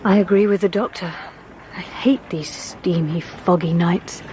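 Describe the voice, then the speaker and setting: A woman's voice: lowish voice, steady tone, a little slow, natural voice. An actress playing a polite, composed young lady in a radio drama, formal and well-spoken. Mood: apprehensive, curious. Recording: noisy radio drama background.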